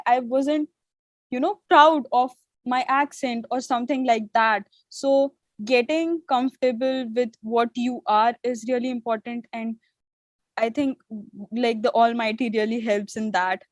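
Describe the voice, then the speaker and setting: Young woman host, hindi is her first language, kinda high voice, dry sound, lots of "you know"s. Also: dry recording. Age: young adult. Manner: warm affirming, hesitant phrasing with many fillers. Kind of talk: interview hosting.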